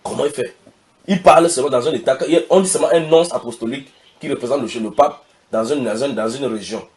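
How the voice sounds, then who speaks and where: A man hosting a religious talk, speaking fast and emphatically as he questions his guest and repeats his words. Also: medium pitch, darkish tone. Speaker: host, preacher.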